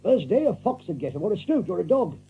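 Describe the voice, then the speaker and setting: A man playing a gruff, scornful, blustering rabbit captain with a gravelly voice in an audiobook drama. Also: high voice, sing-song, fast talker, phone-quality sound, exaggerated voice. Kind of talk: audiobook drama character acting. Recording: thin, faintly noisy old recording.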